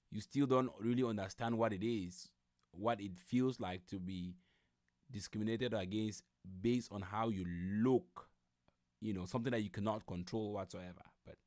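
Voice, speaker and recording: A man's voice: lowish voice, normal speed, darkish tone, no echo. Male livestream host, casual and incredulous reaction commentary, close dry sound. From Cameroon.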